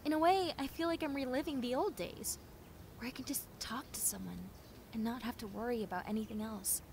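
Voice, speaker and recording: A woman playing a friendly, clumsy young female zombie in a roleplay audio drama, talking in a chatty, expressive, rambling way. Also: fairly high pitch, fairly quick, noisy background, lightly acted. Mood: wistful, grateful. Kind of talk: roleplay audio drama, conversational monologue addressed to listener.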